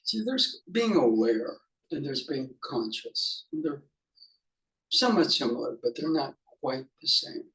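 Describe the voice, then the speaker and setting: A man leading an unscripted guided meditation as a teacher, musing haltingly in short fragments with pauses. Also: medium pitch, lively intonation, a bit bright, poor audio.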